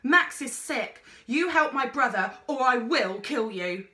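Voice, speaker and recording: A woman's voice: fairly high pitch, lively intonation, a little slow, bright, forceful tone, echoey room, voice pushed up. A woman voicing a fierce, desperate young girl in a storybook, urgent and demanding. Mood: furious, desperate.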